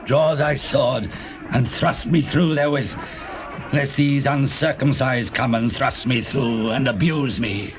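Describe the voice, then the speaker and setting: A wounded king in despair acted by a man, speaking slowly and haltingly. His pitch is medium for a man and his manner grave. It is a biblical audio drama with a faint layer of tape noise. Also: steady tone, lightly acted. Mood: desperate, dying. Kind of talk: dramatized scripture acting. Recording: hissy old cassette tape recording.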